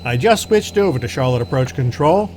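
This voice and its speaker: A man giving a measured, instructional scripted voice-over as the pilot narrator of a flight video. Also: medium pitch, animated, background noise. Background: music behind the voice.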